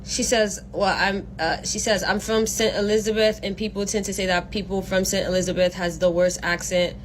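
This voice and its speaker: A woman from Haiti talking through a casual reaction vlog, animated and slangy, explaining and exclaiming as she goes. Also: medium pitch, normal speed, slight background noise. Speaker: vlogger reacting to clips.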